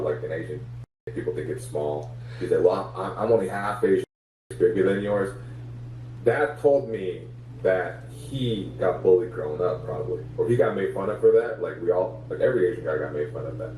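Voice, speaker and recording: A man's voice: low-pitched, warm tone. A man, a comedian guest in a casual interview, talking things through reflectively with many fillers. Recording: echoey room, distant mic, faint hum.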